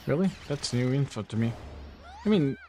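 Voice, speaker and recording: A man streaming a video game, chatting casually to viewers in a relaxed, rambling way full of fillers. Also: mid-range voice, normal speed, a little hiss or hum. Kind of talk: casual gameplay commentary.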